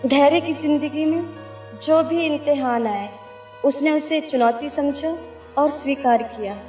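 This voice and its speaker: A woman voices a remorseful young woman musing slowly and earnestly. Her voice is high but mellow. It sounds distant and roomy, in a muffled recording with faint music underneath. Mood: reflective. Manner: slow, earnest, reflective. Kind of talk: reflective closing monologue in a radio play. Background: soft music.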